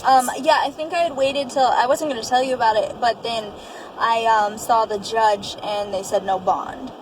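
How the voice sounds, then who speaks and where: Casting: young adult woman, higher-pitched, casual candid storyteller, colloquial phrasing, lightly noisy recording. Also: fairly even intonation, normal speed. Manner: colloquial, quotes past speech often. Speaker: interview guest telling her own story.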